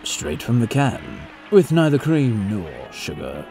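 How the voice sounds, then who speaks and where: A man's voice: mid-range voice, sing-song, measured pace, vocal fry, mellow, faint music behind. A man playing a composed young royal advisor in a fan audio drama, formal, precise and drily wry.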